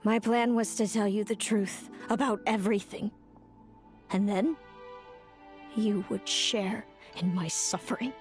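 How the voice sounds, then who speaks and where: An actress playing a bitter, tormented fae older sister in a video game, speaking in a dramatic, wounded, confessional way. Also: female voice, medium pitch, fairly even intonation, measured pace, close to an everyday voice. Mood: regretful confession. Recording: music under the voice. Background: background music.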